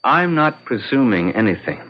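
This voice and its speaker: An actor playing a confident defense attorney in an old-time radio drama, speaking in dramatic, deliberate courtroom oratory. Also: male voice, medium pitch, very animated. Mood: firm. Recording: dull vintage radio broadcast recording.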